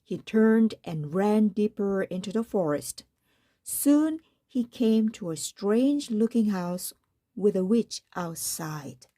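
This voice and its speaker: A woman's voice: medium pitch, lively intonation, slow, warm tone, no echo, clean studio sound. A woman reading a children's picture book aloud as a storyteller.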